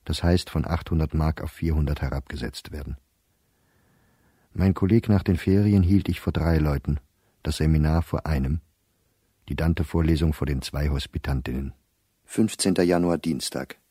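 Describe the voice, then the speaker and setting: An actor playing a weary middle-aged professor reading his private diary in a radio play, measured and reflective. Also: rumbling low, dry recording, studio quality. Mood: sober. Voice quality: dark, husky.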